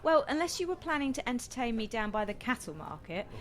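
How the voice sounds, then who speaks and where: A woman acting in a radio play as a dry, gently teasing date who is politely unimpressed. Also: fairly high pitch, big pitch swings, fast. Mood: wry teasing.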